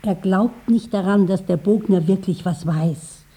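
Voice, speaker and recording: A woman's voice: medium pitch, a little slow, dark tone, breathy edge. An actress playing an anxious, chatty middle-aged mother with a plain, plaintive manner in a 1950s German radio play.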